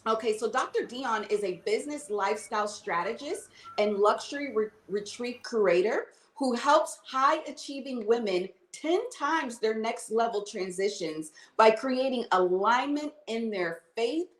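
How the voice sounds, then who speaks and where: A woman's voice: fairly high pitch, fairly bright tone. Bubbly woman host in an echoey room. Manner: bubbly, upbeat, says "okay" and "y'all" often. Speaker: podcast host. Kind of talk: enthusiastic podcast interview.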